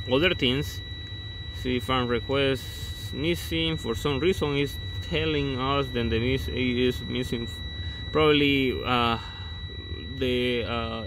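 A man talks through a truck repair in a casual, hands-on way, pointing things out as he goes. His English is full of fillers and restarts. It sounds recorded on a phone, with a clear vehicle hum underneath. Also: medium pitch. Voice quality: non-native English with filler-heavy restarts. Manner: explains step by step, pointing things out. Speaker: diesel truck mechanic. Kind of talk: casual how-to walkthrough. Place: truck cab and battery compartment.

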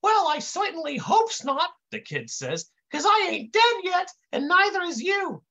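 Dim-witted intern kid, high voice, swooping pitch, mangled slangy grammar, comic storytelling. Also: male voice, sing-song, a little slow, bright, forceful tone, heavily acted. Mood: confused, earnest. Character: big fat dim-witted male intern kid, innocently clueless.

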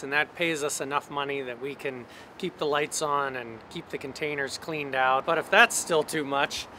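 A man's voice: on the high side, bright, forceful tone, a little hiss or hum. A man who develops buildings, explaining a project fluently in an interview outdoors in a city alley.

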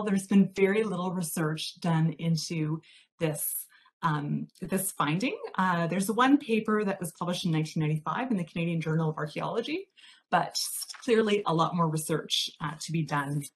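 A woman presenting a history talk over slides on a video call, measured and explanatory with frequent fillers. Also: fairly low pitch. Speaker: project researcher presenting a talk.

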